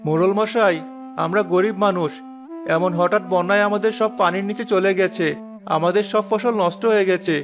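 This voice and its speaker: A man's voice: high voice, fairly even intonation, fairly quick, music in the background, natural voice. A man voicing an earnest, worried poor villager and family man in a cartoon moral tale, speaking with urgency. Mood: pleading. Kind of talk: animated moral story voice acting.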